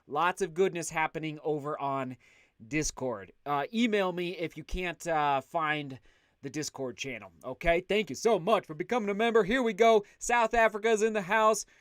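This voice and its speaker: Chatty guy on a livestream, loud voice, fairly high pitched, dry close mic. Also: moderate pace, dry recording. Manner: upbeat, chatty, reads out viewer comments. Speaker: livestream host. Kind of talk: casual livestream chat.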